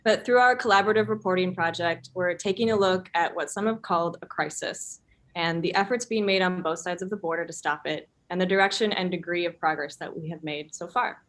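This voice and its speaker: A woman's voice: on the low side, fairly quick, a bit bright. A woman reporter co-hosting an online town hall, speaking informally with some scripted introductions. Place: online video call.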